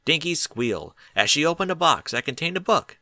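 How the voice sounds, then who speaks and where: A man narrates a storybook tale in a bright, forward voice. His pitch moves up and down in a lively, expressive way, and the sound is close and dry. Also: brisk, no echo. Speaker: audiobook narrator reading fan fiction.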